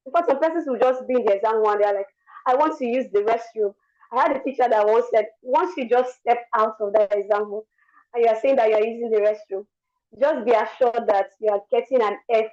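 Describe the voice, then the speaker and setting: A young woman with a worn, hoarse voice, giving an earnest motivational talk over an online call. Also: on the high side, darkish tone, dull audio. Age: young adult. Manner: earnest, repeats words while speaking. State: lost her voice. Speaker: guest speaker.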